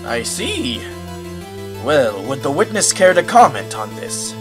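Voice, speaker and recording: A man's voice: fairly high pitch, very animated, slow pace, over music. A man voicing a flustered, formal older judge who exclaims his questions in an amateur courtroom fan dub. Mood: inquisitive.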